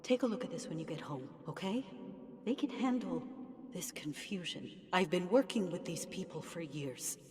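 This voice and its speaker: A woman's voice: fairly low pitch, animated, normal speed, a little mellow, natural voice. A woman playing a caring but firm, motherly storekeeper in a video game flashback. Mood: concerned, stern. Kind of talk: naturalistic video game cutscene acting. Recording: long reverb, music behind.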